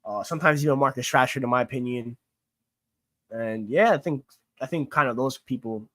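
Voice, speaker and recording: A man's voice: medium pitch, brisk, a bit bright, no room sound. A young man from Greece who grew up in New York and talks with a Queens accent, casually chatting while answering questions from a live stream chat, rambling with plenty of fillers and restarts. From born in Greece, raised in New York. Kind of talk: casual livestream Q&A.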